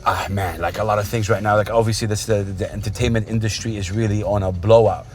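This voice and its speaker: A man from Saudi Arabia hosting a casual interview in a car, rambling and warm as he talks about his past. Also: on the low side, fairly even intonation, quick pace. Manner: rambling, warm, restarts often. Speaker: podcast host. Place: inside a car. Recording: car interior with music behind.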